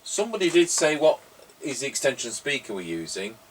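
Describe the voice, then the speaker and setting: A man's voice: medium pitch, sing-song, a little fast. A middle-aged man chatting casually in a rambling, anecdotal way while giving radio test commentary. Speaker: radio repairer and presenter. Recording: noisy, distant microphone.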